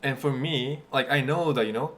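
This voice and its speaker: A man's voice: medium pitch, moderate pace, a bit bright, a little hiss or hum. A young man, chatting casually and telling a story full of "like" fillers. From Japan. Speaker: guest. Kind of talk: casual chat.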